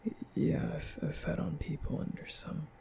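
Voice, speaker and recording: A man playing a nervous, caring young vampire in an ASMR roleplay, speaking softly and hesitantly with stammers in a breathy whisper. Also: fairly high pitch, steady tone, moderate pace, a little hiss or hum. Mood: sheepish, confiding. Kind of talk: ASMR roleplay, soft comforting boyfriend audio.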